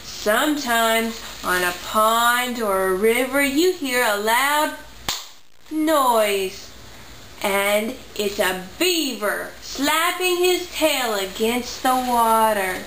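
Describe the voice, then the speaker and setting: Slow, bright-voiced woman telling a children's story. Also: fairly high pitch, animated. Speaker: parent narrating a puppet show. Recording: echoey room, faint background noise.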